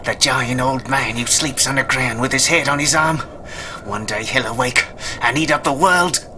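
An actor playing a fearful, superstitious guide in a radio drama, solemnly telling ominous old legends. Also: male voice, mid-range voice, normal speed, background noise, lightly acted.

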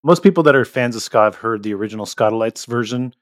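A man's voice: mid-range voice, fast, no room sound, clean studio sound. A man co-hosting a podcast, chatting casually as he interviews guests. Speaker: podcast co-host.

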